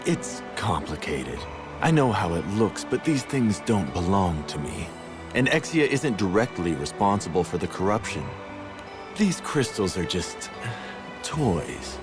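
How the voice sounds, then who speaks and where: Male game villain: smug, teasing mastermind, low voice that swoops expressively, music underneath. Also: sing-song. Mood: coy, amused. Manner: teasing, theatrical, drawn-out delivery. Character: sly theatrical male mastermind, playful, condescending, falsely sentimental. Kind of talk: video game voice acting.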